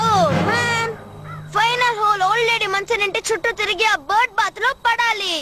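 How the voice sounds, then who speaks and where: Fast, very shrill cocky cartoon boy. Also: steady tone. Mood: confident. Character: cocky, competitive young boy. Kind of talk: Telugu-dubbed cartoon acting. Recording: loud music behind the dialogue.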